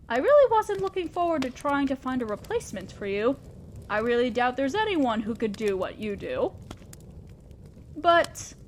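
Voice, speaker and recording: A woman's voice: high voice, slight background noise. A woman playing a fair-minded but ambitious demon lord boss in a scripted audio drama, speaking formally and directly to an underling, with a sly teasing streak. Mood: relieved, surprised.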